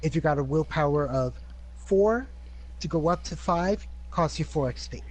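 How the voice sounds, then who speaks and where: A man, a player, reading out rules step by step, methodical, with hesitant pauses. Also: on the high side, lively intonation. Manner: methodical, plain explaining with hesitant "uh"s.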